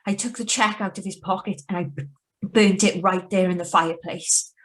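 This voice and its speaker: A woman playing a young wife who calmly confesses to murder in a live reading of a radio thriller. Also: mid-range voice, normal speed, lightly acted. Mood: calm, confessional. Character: young wife Patrice, calm remorseless killer confessing. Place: video call reading from home.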